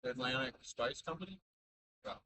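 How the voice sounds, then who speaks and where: A man's voice: medium pitch, moderate pace, a crowd in the background, low-quality recording. A man vlogging in an offhand, wry, musing way. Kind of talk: casual vlog chat.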